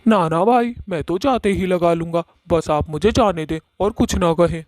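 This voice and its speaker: A man's voice: high voice, moderate pace, a little mellow, dry recording, studio quality, pitched above the performer's own voice, close to an everyday voice. A man voicing a meek, frightened village man in a cartoon, pleading and flustered with polite reassurances. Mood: scared pleading. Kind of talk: Hindi cartoon acting.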